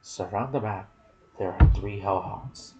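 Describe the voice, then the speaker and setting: Male ASMR gaming narrator, lowish creaky voice, soft near-whisper delivery, reflective asides, audible noise underneath. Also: unhurried. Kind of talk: ASMR game lore narration with casual asides.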